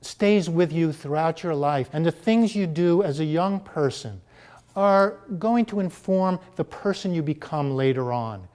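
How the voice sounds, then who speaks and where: A middle-aged American man, a children's book author, speaking in an interview in an unhurried, thoughtful way, with many fillers. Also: fairly high pitch, lively intonation, darkish tone. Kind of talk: interview conversation about his book.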